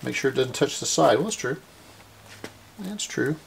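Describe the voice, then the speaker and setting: A man gives a hands-on repair demonstration, explaining informally and methodically step by step in a creaky voice. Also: mid-range voice, animated, normal speed, a little hiss or hum. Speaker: host of a radio restoration series.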